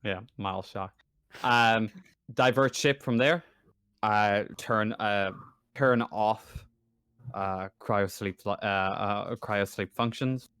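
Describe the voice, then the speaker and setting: A man acts as game master in a casual tabletop session. His voice is on the low side, smooth and clear, recorded very dry. He narrates haltingly, often searching for the next word. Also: fairly bright tone, dry recording.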